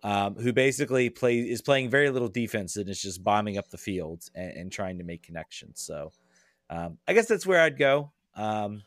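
A man with a fairly low voice talks through soccer analysis in a casual way. He is hesitant, often thinking aloud and hedging, and the sound is dry and close. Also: lively intonation, moderate pace, dry recording. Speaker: podcast guest, soccer analyst. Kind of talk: casual sports discussion.

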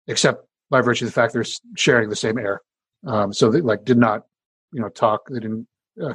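A middle-aged man with a deep voice, an engineering professor casually explaining how speech releases particles, full of fillers and restarts. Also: husky, no room sound, low-quality recording. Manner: conversational, many fillers and restarts. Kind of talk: explanatory interview answers.

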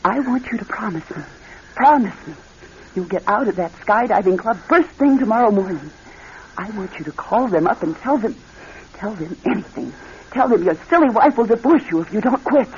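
An anxious, loving wife played by a woman in a radio play, with a mid-pitched voice that pleads tenderly and halts as she goes. The recording is muffled, with some hiss behind her. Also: lively intonation, moderate pace, a little mellow, close to an everyday voice. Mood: pleading, upset. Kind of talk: radio drama acting.

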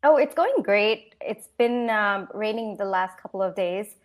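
A woman's voice: mid-range voice, expressive, a little slow. A young woman from Singapore telling her own story as an interview guest, chatty, upbeat and easy-going. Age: young adult. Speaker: podcast guest, young entrepreneur. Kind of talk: conversational personal storytelling interview.

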